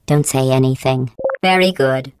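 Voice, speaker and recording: A woman voicing a cold, passive-aggressive female AI that runs a test facility in a video game, speaking in a deadpan, mock-polite monotone through synthetic robotic processing. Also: rumbling low, unhurried.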